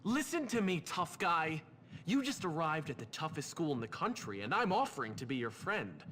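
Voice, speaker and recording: An actor playing a pushy, scheming teenage schoolboy in a video game, wheedling and insistent. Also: male voice, a little high, big pitch swings, normal speed, sharp tone, slight background noise. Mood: pushy, persuasive. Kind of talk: video game cutscene acting.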